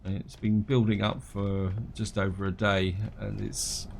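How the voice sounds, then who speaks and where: A man with a low, gravelly voice talks through a game as he plays. He sounds croaky and blocked up from a cold and rambles along with fillers. A faint hiss sits under the recording. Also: level tone, unhurried. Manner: rambling, thinks aloud with fillers and restarts; reads on-screen game text aloud. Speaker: gameplay commentator. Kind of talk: casual gameplay commentary.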